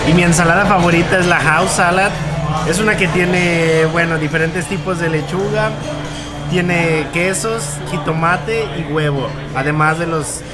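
A man's voice: a little high, a little slow. A man in his thirties with a Mexican accent, chatting casually to camera as a vlog co-host. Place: busy restaurant. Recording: loud music and restaurant crowd noise.

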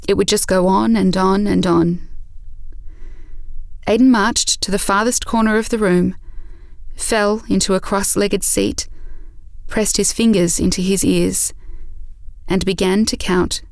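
A woman narrating an audio drama from a script in a measured storytelling manner. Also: medium pitch, fairly even intonation, creaky, no echo.

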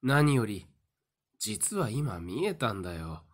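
A man playing a sly, smug fortune-teller in a voice drama, theatrical and condescending with a taunting calm. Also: on the low side, slow pace, full character voice. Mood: smugly calm. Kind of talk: Japanese voice drama acting.

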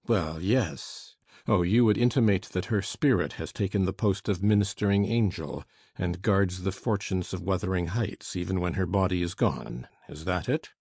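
A man voicing a surly, savage middle-aged landlord with a gruff, sneering delivery. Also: low-pitched, moderate pace, darkish tone, no echo, clean studio sound, voice pushed down.

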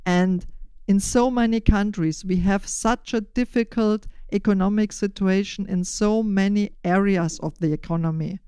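An older woman with a German accent speaking deliberately and in measured phrases as a podcast host, giving prepared interview answers. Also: medium pitch, fairly even intonation, warm tone, clear, resonant tone, dry recording, clean studio sound.

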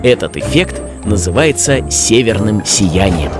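A man's voice: on the low side, very animated, a little slow. A man reading scripted educational narration for children as a narrator. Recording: music under the voice.

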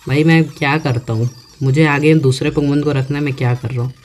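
A man's voice: medium pitch, steady tone, a little mellow. Chatty man narrating his gameplay. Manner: chatty, talks to himself, reads on-screen text aloud slowly. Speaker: gaming YouTuber. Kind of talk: casual let's-play commentary. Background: faint game music.

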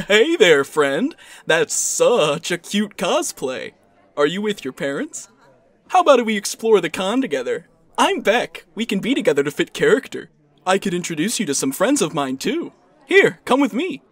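A man's voice: high-pitched, sing-song, moderate pace, no room sound. A man voicing an over-friendly, creepy costumed convention stranger in a cartoon comic dub, coaxing and saccharine.

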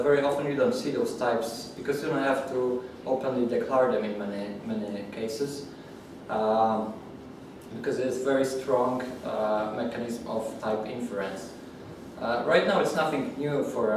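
Polish man talking in an echoey room. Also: medium pitch. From Poland. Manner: halting, many fillers and restarts. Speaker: conference speaker. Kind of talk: technical talk at a user group. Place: meeting room with audience. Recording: echoey, distant room mic with noise.

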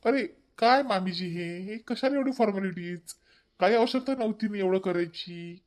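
A man's voice: high-pitched, moderate pace, natural voice. A man voicing an outspoken, modest young son-in-law in a cartoon family comedy, protesting and repeating himself with a Varhadi Marathi accent. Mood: protesting, opinionated. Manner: repetitive, opinionated, protesting. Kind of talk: amateur animated family comedy acting.

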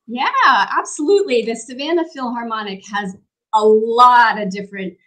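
A woman's voice: fairly high pitch, moderate pace, a crowd in the background. A middle-aged woman hosting a live interview show over a video call, with friendly, upbeat introductions. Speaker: host.

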